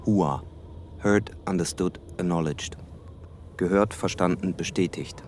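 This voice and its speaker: An actor as a dry, factual explainer of military terms in a documentary-like radio drama. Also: male voice, low-pitched, measured pace, darkish tone, a little hiss or hum. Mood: detached. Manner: detached, informative.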